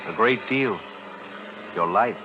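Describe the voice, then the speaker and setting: An actor playing a grim accomplice biding his time in a 1940s radio thriller, speaking in a measured, cryptic way. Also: on the low side, background noise. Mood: quietly menacing. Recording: muffled old 1940s radio recording.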